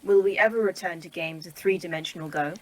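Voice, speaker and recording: A woman voicing a calm, precise female AI in a sci-fi horror audio drama, speaking in a clinical, matter-of-fact way. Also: fairly low pitch, quick pace, natural voice, low-quality recording. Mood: detached.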